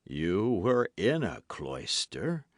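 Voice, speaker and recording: A man voicing a grim, calm executioner who speaks slowly and gravely. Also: deep voice, no room sound, studio quality, exaggerated voice. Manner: slow, grave, deliberate. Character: grim executioner in red cloak, calm and pious.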